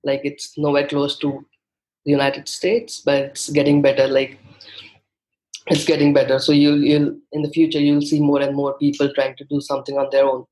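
Man from India, flat delivery, fillers and restarts, interview guest. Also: fairly high pitch, normal speed, rough recording. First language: Hindi. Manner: thoughtful, with frequent fillers and restarts. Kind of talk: conversational interview.

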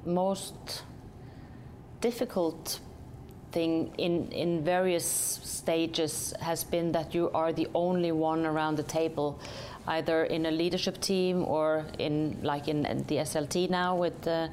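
A woman's voice: low-pitched, steady tone, slow pace, a little mellow, a little hiss or hum. A woman from Norway, a company chief executive, answering interview questions thoughtfully with many hesitations and restarts.

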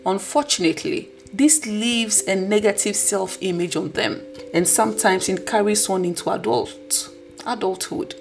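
A woman with a husky voice hosting a podcast, delivering an earnest, preachy motivational talk. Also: medium pitch, moderate pace, slight background noise. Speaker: podcast host.

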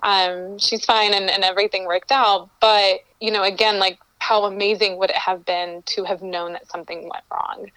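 A woman's voice: mid-range voice, flat delivery, moderate pace, few pauses, a bit bright, smooth, dry recording, low-quality recording. A woman from Colombia, a guest calling in to an interview, answering in an earnest, reflective way and often restarting her phrases. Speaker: guest, entrepreneur. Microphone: remote call-in.

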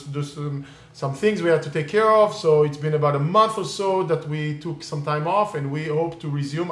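A man's voice: fairly high pitch, a bit bright, reverberant. A man whose first language is Hebrew hosts a live online session on real estate investing, explaining casually with many fillers and restarts. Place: California.

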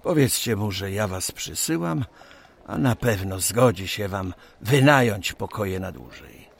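Actor as a genial company president, very lively intonation. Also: male voice, fairly low pitch, unhurried, gravelly, heavily acted. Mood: thoughtful, explaining. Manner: formal, expansive, instructing. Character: genial, businesslike company president of 1820s Poland. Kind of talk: radio drama acting.

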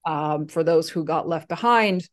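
Lower-voiced woman telling her story. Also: normal speed. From Slovakia. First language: Slovak. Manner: conversational, many fillers and restarts. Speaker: guest. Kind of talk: casual interview storytelling.

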